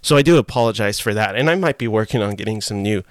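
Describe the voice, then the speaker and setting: Hoarse man rambling on a solo podcast. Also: lowish voice, expressive, fast talker, no echo, clean studio sound. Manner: rambling, self-correcting, frequent uh fillers. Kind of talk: casual solo podcast chat. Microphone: desk mic with iPhone as webcam.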